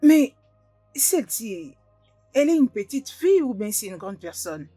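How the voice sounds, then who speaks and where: Gossipy mother, husky, casual, higher-pitched, film drama. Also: big pitch swings, unhurried, warm tone, no room sound, faint music behind, heavily acted. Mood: curious, gossiping. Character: chatty, gossiping mother of a grown son.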